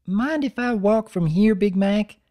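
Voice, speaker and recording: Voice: medium pitch, a little slow, warm tone, pitched above the performer's own voice, natural voice. A narrator voicing an eager, chatty young filly with folksy manners in a cartoon fan-fiction reading. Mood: bright, cheerful. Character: perky young filly, country pony little sister.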